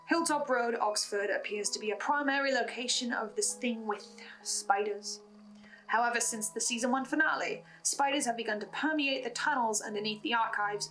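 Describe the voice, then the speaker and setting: Female commentator, higher register, musing and theatrical, deliberate pauses, faint music underneath. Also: fairly bright tone, poor audio. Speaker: host of a podcast reaction and theory video. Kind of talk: scripted analysis commentary.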